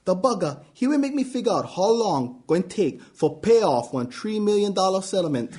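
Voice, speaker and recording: A man voicing a calm, kind older brother who talks in Hawaiian Pidgin. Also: high voice, moderate pace, background noise, voice pushed up. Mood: easygoing. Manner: calm, gentle, easygoing.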